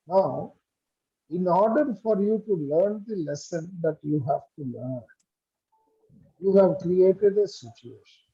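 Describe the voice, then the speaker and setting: A man teaching a spiritual study group over a video call, speaking in a measured way and checking in with questions. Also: sits high, lively intonation, dark tone, slightly rough, muffled recording. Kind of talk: online study group lecture. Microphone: computer mic on a video call.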